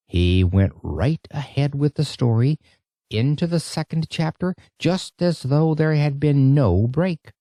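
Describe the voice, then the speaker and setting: A man narrating an audiobook in a dramatic, expressive storytelling style. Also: medium pitch, no echo, studio quality.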